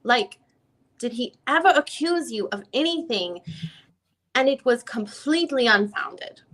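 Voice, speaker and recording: A woman's voice: a little high, lively intonation, unhurried, fairly bright tone, low-quality recording. A woman reading the part of a persistent defense lawyer questioning a witness in a dramatic reading of a deposition, often rephrasing her questions. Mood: pressing, methodical. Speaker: reader playing a deposing attorney.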